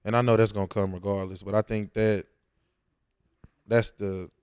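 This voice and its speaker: A man's voice: fairly low pitch, flat delivery, moderate pace, a little mellow, smooth, dry recording, clean studio sound. A young man, talking casually and slangily as a rapper in an interview. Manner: informal, slangy.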